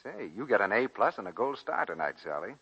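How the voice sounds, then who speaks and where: An American man working as a 1940s radio announcer, delivering a scripted soap pitch. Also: very animated, fairly quick, vocal fry, pushed and bright, husky. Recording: muffled vintage 1940s radio broadcast.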